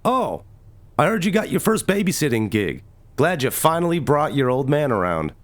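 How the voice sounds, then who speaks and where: A man's voice: a little high, sing-song, normal speed. A man playing a fun, flamboyant, affectionate middle-aged uncle in a comic dub, jaunty and playful.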